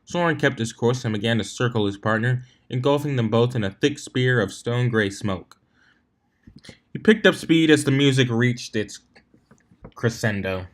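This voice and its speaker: A man reading fan fiction aloud as an amateur narrator, expressive with the odd stumble. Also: medium pitch, moderate pace. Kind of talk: amateur scripted story narration.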